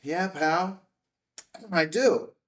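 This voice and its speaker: An actor at a table read playing a grieving, bitter widower, weary and blunt. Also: male voice, on the high side, drawn-out words, fairly bright tone. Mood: bitter, confrontational. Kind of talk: screenplay table read acting. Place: indoor filmed table read. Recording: slightly distant room microphone.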